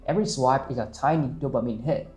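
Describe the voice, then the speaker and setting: A man's voice: mid-range voice, quick pace, a little mellow, echoey room, soft background music. A young man whose first language is Vietnamese, confidently coaching on social media marketing with numbered points. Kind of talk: direct-to-camera social media lesson.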